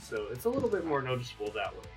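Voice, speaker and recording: Halting male game master, medium pitch. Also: huge pitch swings, music in the background, poor audio. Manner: halting, conversational. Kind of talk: casual tabletop game narration.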